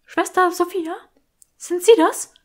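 A woman's voice: very high-pitched, steady tone, slow pace, voice pushed up. A woman voicing a vain, eerie old female talking skull in an audiobook, theatrical and haughty. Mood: confused.

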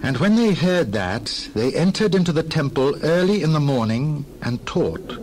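A man narrating dramatized scripture in a formal, expressive storytelling manner. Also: on the high side, normal speed, a little hiss or hum.

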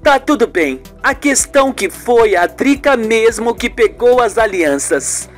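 A performer voicing a calm, determined woman in a radio soap, speaking firmly and deliberately. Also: mid-range voice, soft background music, pitched above the performer's own voice, close to an everyday voice. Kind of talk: radio soap opera acting.

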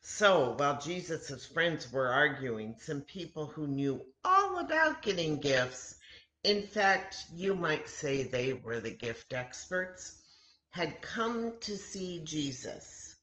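Older woman, 60s, children's storyteller; low register, deliberate and pausing, roomy home webcam sound. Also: big pitch swings, fairly bright tone. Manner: simple, deliberate phrasing with frequent pauses. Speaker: children's story time host at a church. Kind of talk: storytelling to children, reading aloud. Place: home kitchen, indoors.

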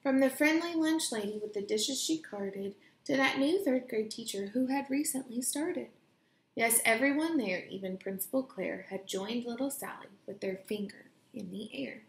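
A young woman reading a children's picture book aloud in a deliberate, expressive storytelling way, recorded in a room at home. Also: a little high, creaky. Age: young adult. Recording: roomy home echo.

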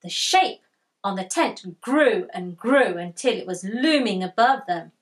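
A woman in her thirties reading a picture book aloud to children, expressive and deliberate, as a storytime host. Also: mid-range voice. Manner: expressive, deliberate, asks listeners questions. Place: small furnished room indoors with bookshelves.

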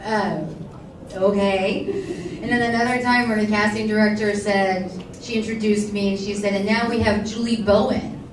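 A woman tells lively audition anecdotes, acting out what others said. She is heard from a distance in a reverberant convention hall. A clear crowd murmur runs underneath. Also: medium pitch, normal speed, noisy background. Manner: animated anecdotes, quotes others. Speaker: actress on convention panel. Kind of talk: convention panel storytelling. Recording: echoey hall from far off.

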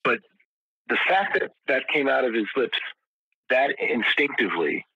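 Older male guest, husky forceful voice, rambling storyteller, dry lo-fi audio. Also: mid-range voice, lively intonation, moderate pace, dry recording. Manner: rambling, many restarts and repeated words. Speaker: guest musician. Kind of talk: casual interview storytelling.

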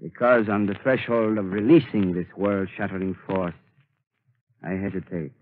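A slow, menacing villain who means to rule the world, his low voice carried on a muffled old radio broadcast. He speaks in a measured, commanding way, as in a 1930s radio play. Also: steady tone, dry recording. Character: scheming male mastermind bent on ruling the world. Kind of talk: 1930s radio drama acting.

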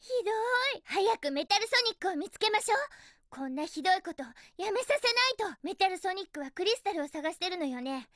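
A woman voicing a feisty, bossy but caring young girl hedgehog in a video game, with energetic, girlish delivery. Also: very high-pitched, quick pace, no room sound, clean studio sound. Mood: annoyed, indignant. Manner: energetic, girlish, emphatic feminine phrasing. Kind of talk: Japanese video game character voice acting.

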